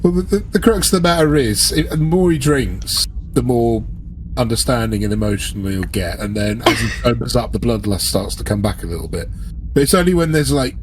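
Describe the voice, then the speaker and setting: A man's voice: mid-range voice, expressive, brisk, background noise. A man chatting casually as a player in a tabletop roleplaying game, dipping in and out of character.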